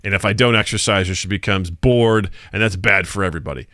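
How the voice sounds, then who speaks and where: Man in his forties, podcast host, bright forceful voice, lowish pitch, dry close sound, joking and casual. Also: fast talker, no echo. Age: 40s. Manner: laid-back, joking, reads viewer comments aloud. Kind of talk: casual live-stream chat.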